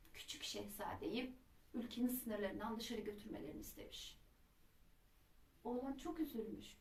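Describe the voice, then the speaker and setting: A woman telling a children's folk tale in an expressive, dramatic traditional storytelling manner. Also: fairly high pitch. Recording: roomy echo, distant microphone.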